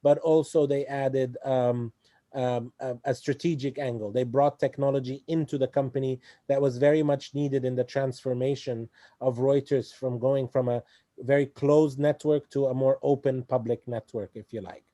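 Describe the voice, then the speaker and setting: A man's voice: mid-range voice, steady tone, normal speed, dark tone, dry recording, studio quality. A man in his forties from Egypt, speaking as an interview guest in a hesitant way, with many fillers and restarts. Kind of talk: conversational interview.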